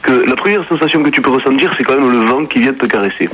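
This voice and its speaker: A man's voice: medium pitch, expressive, fast, a bit bright, husky, muffled recording. A man calling into a mock radio debate, speaking in a formal, overly polite way. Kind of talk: comic radio phone-in parody.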